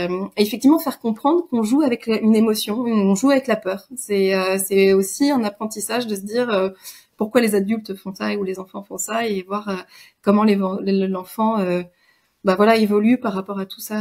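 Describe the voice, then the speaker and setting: A woman co-hosting a casual podcast, speaking in a gentle, reflective way. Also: medium pitch. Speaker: podcast co-host.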